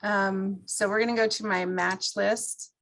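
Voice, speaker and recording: Conversational woman walking through software. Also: mid-range voice, fairly even intonation, a little slow, creaky. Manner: conversational, explanatory, step-by-step. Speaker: presenter teaching a class. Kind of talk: informal software walkthrough.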